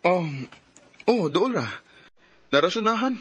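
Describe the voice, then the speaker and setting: An actor playing a shy, lovestruck young sweetheart in a Cebuano radio drama, with a Filipino accent. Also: male voice, high voice, lively intonation, takes it slowly, a bit bright, husky, dry recording, heavily acted. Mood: friendly. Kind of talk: Bisaya radio drama acting.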